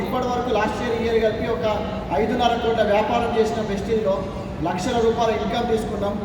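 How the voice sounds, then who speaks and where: Male motivational speaker, Telangana Telugu accent, English-sprinkled delivery, far from the mic in a long-reverb hall. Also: sits high. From Godavarikhani, Telangana, India. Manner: mixes in English, starts points with "so". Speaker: lorry owner and part-time network marketer. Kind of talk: motivational testimonial speech to an audience. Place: large echoing hall. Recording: very echoey hall, distant mic, noisy.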